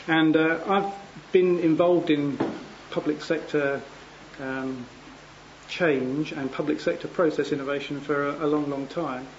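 A man's voice: on the high side, fairly even intonation, a little slow, dull audio. Male conference chair, hesitant delivery, light hiss behind. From England. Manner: hesitant, many ums and restarts. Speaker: panel chair. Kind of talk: conference panel introduction. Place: conference hall.

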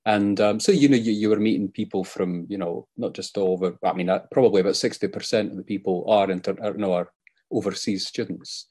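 A man's voice: fairly low pitch, fairly even intonation, a little fast, a little mellow, slightly rough. A man with a Scottish accent, an academic guest, speaking hesitantly in an interview with many fillers and restarts. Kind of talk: conversational podcast interview.

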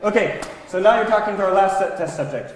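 A man giving a lively, interactive presentation, playfully prompting the people taking part. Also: high-pitched, fast talker, faint music behind. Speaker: presenter running a live demonstration. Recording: echoey, reverberant lecture hall.

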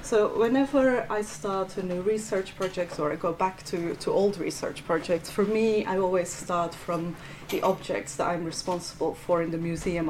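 A woman from Flanders with a Flemish accent, a museum curator giving a measured art history lecture with frequent restarts. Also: fairly low pitch, a little mellow, a little hiss or hum, low-quality recording. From Belgium (Flanders). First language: Dutch. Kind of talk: prepared lecture.

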